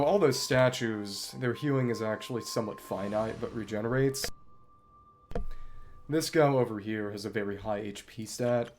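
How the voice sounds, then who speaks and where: Low-voiced man commentating on a video game. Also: darkish tone, slight background noise. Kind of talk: casual gameplay commentary, explaining mechanics. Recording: music behind the voice. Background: game music.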